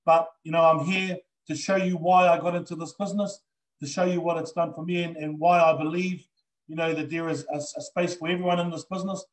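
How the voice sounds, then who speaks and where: A man's voice: on the high side, flat delivery, fairly quick, poor audio. A middle-aged man with a New Zealand accent giving an earnest, enthusiastic live business presentation on a video call, with many fillers. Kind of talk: live online sales presentation. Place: indoors before a green backdrop.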